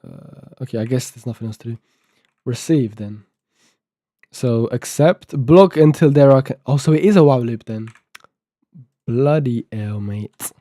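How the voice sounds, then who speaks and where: A man's voice: medium pitch, a little slow, dark tone, dry recording. An eighteen-year-old man streaming live, thinking aloud and half-reading documentation to himself as he codes, with a sore throat. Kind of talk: casual live-stream talk while coding.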